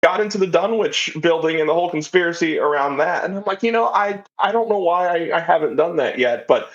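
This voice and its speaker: A man's voice: fairly high pitch, animated, fairly quick, fairly bright tone. A man talking casually as a podcast guest, telling stories in a rambling, informal way. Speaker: podcast guest, audio drama writer. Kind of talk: casual interview chat. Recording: slightly dull, lo-fi audio.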